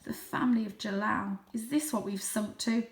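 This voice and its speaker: A woman voicing an aged male cat, a worried, pleading family elder in a storybook, speaking gravely and urgently. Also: medium pitch, steady tone, moderate pace, echoey room, close to an everyday voice. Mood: bitter. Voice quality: croaky at times.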